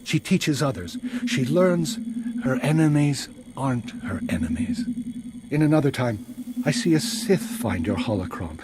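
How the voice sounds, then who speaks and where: An actor playing a wise, solemn Jedi master and mentor in a video game, speaking in a measured, earnest way. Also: male voice, mid-range voice, lively intonation, lightly acted. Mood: solemn, prophetic. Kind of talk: scripted video game dialogue. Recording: loud background ambience noise.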